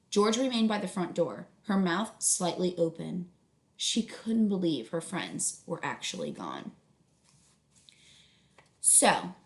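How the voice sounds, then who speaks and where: A woman reading a children's book aloud as a teacher, instructive, pausing to put questions to students. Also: on the low side. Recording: echoey room.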